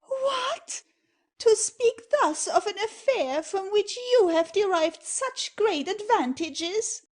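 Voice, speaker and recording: A woman's voice: very high-pitched, expressive, slow pace, exaggerated voice. An actress playing a haughty noblewoman and strict mother-in-law in a theatrical comedy audio play, imperious and grandly declamatory. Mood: affronted.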